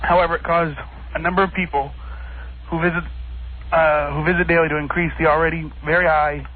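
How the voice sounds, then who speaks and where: A male radio caller on a thin phone line, with a slightly high voice. He speaks in nervous, halting bursts that come out quickly, over faint noise. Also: little pitch movement. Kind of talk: casual phone-in talk.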